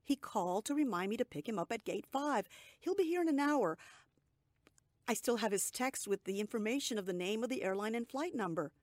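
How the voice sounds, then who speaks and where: A woman's voice: fairly high pitch, lively intonation, a little fast, no room sound, pitched above the performer's own voice, natural voice. A woman voicing her worried adult daughter, speaking up in a raised, insistent tone. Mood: puzzled.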